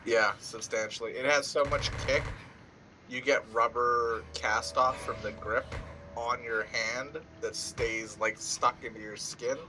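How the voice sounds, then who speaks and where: A man chatting casually over voice chat, animated and explaining things in detail. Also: mid-range voice, a little slow, music in the background.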